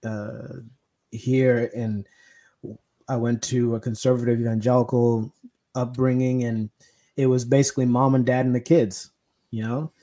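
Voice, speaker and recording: A young man from Kenya hosts a casual podcast chat. His voice is mellow and relaxed, and he talks haltingly, restarting his sentences and leaning on fillers. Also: medium pitch. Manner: hesitant, many restarts and fillers. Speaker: podcast host. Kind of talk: casual conversation.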